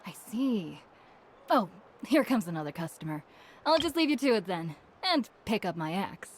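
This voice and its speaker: A woman's voice: mid-range voice, big pitch swings, moderate pace, slight background noise, lightly acted. An actress playing a forgetful young woman villager in a video game, chatty and a little flustered. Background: game music.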